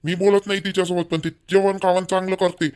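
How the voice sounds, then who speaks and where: A man plays a scolding young village husband in a homemade cartoon. His voice sits high, and he nags bluntly and repetitively in a rural Vidarbha Marathi accent. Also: level tone, brisk, darkish tone, close to an everyday voice. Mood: complaining, sarcastic. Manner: blunt, nagging, repetitive complaining. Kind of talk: amateur cartoon dialogue in rural dialect.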